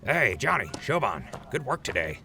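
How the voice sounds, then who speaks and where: A man's voice: medium pitch, very animated, normal speed, creaky, sharp tone, slight background noise, heavily acted. A man playing an easygoing, friendly wasteland radio host in an audio drama, with a mild-mannered delivery. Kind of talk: post-apocalyptic radio drama acting. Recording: music behind the voice.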